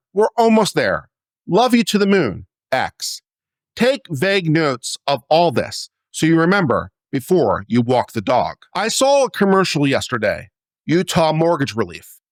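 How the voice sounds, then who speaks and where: A man reading a letter aloud as a dramatic performance, in a theatrical, expressive manner. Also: on the high side, dry recording, clean studio sound. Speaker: reader of a letter.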